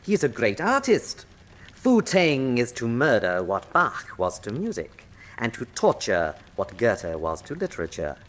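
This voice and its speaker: An actor playing an urbane, sardonic villainous colonel in a radio drama, suave, theatrical and mocking in manner. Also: male voice, medium pitch, huge pitch swings, unhurried, a bit bright. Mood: sardonic, courteous.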